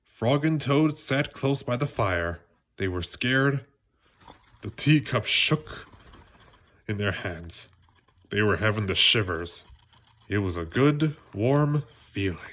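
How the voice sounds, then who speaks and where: A man reading a storybook aloud for children in a deliberate, expressive way. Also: on the low side. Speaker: storybook reader. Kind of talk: children's read-aloud narration.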